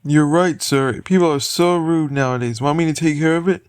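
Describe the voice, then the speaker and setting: A man's voice: on the high side, normal speed, smooth, lightly acted. A man voicing a loyal, deferential soldier henchman in a comic fan dub. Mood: dutiful.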